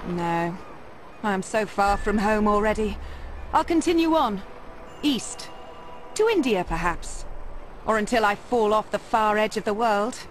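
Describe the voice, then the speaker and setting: An actress playing a wry, independent young former Templar in a video game, with a measured delivery. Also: female voice, medium pitch, animated, slight background noise, natural voice. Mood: wistful. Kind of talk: scripted video game cutscene acting.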